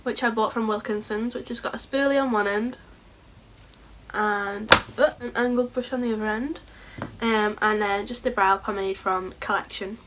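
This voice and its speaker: Hoarse chatty woman vlogger. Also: fairly high pitch, steady tone, moderate pace, a bit bright, a little hiss or hum, poor audio. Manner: chatty, rambling, a bit scatterbrained. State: sore throat, hoarse. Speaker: beauty vlogger. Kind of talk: casual makeup tutorial.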